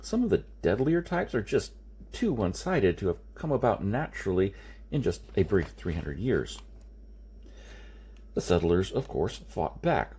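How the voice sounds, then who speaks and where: An insistent man lectures and explains with great emphasis , as read by a male audiobook narrator. His husky voice is lowish and swings widely in pitch as he reasons. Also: big pitch swings, a little slow, mellow, heavily acted. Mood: grim, emphatic. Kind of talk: audiobook character dialogue.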